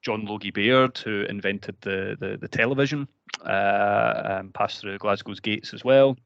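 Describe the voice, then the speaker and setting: A man with a Glaswegian accent presents his university over a video call, stopping often for ums and restarting his phrases. His voice sits fairly low, and the sound is dry and a bit dull. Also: measured pace, vocal fry, a bit bright, clean tone, no echo. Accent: Glaswegian Scottish. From Scotland. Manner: frequent ums and word repeats, conversational. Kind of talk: online presentation to counsellors.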